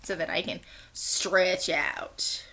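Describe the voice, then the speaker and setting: A woman doing an expressive children's read-aloud in two languages, with animated, drawn-out storytelling. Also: fairly low pitch. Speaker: teacher reading a picture book aloud.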